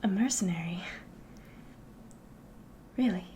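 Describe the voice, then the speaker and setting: A woman playing a friendly, curious innkeeper in an ASMR roleplay, speaking softly and politely, often slipping into a whisper. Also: medium pitch, slight background noise, natural voice. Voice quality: soft, breathy, often whispering. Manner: gentle, unhurried, polite, hospitable. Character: friendly, curious female innkeeper of a fantasy tavern.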